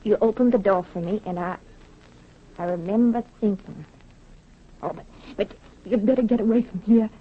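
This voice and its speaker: An actress playing a nervous, rough-spoken woman in a 1930s radio crime drama, brusque, jittery and stammering. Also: medium pitch, normal speed, pauses often, a little hiss or hum, lightly acted. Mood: wary. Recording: muffled 1930s radio recording.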